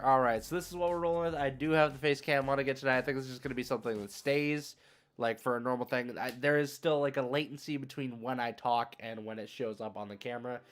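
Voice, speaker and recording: A man live streaming a game, chatting casually with viewers in a rambling, conversational, slangy way. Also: mid-range voice. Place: indoors, solo at a streaming setup.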